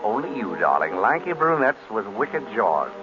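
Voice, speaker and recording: An actor playing a suave, easygoing, wisecracking gentleman detective in an old radio drama, with breezy, wry delivery. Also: on the low side, big pitch swings. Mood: dry, bantering. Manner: breezy, wry, unhurried banter. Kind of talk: 1930s radio drama acting. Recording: muffled, noisy old radio recording.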